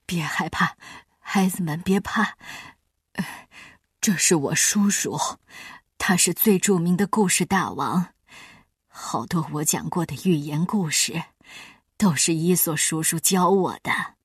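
A woman voicing a weary old grandfather storyteller in a cartoon dub, speaking slowly in a breathy, whispery way. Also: on the low side, no room sound, clean studio sound, recorded from a distance, voice pushed down, exaggerated voice. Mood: tearful.

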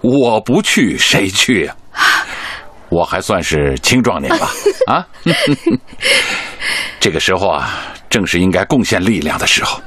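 An actor playing a hearty, resolute middle-aged hospital director in a Chinese radio drama, avuncular and declarative. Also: male voice, low-pitched, big pitch swings, a little slow, a bit bright, slightly rough, full character voice. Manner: avuncular, declarative, punctuates with questioning grunts.

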